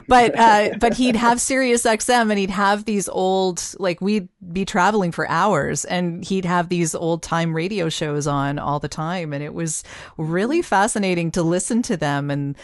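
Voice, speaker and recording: Female podcast host, lowish voice, casual interview chat, close dry sound. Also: unhurried, no room sound.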